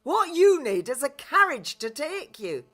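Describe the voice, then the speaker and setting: A woman voicing a brisk, bossy fairy godmother in a radio play. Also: high-pitched, very animated, a bit bright, pitched above the performer's own voice, full character voice. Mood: brisk, commanding.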